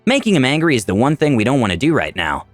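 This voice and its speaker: A man giving a dramatic, expressive first-person scripted narration of a fantasy tale. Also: medium pitch, fast, faint music behind. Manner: expressive storytelling with polite quoted dialogue. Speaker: story narrator.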